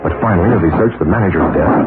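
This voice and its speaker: A man's voice: sits low, rapid-fire, very noisy, lightly acted. A man narrating a 1940s radio drama in a dramatic storytelling manner, on an old tinny radio recording.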